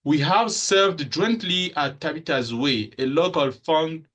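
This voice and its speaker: A young man from the Republic of Congo, a student speaker, giving a prepared formal speech in a measured way with occasional restarts. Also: fairly high pitch, a bit bright.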